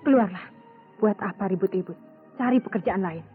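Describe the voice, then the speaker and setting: An actress playing a proud, cool and stubborn doctor wife quarrelling in an Indonesian radio drama. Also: female voice, medium pitch, a little fast, lightly acted. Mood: exasperated, cutting. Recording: tinny old radio drama, music behind.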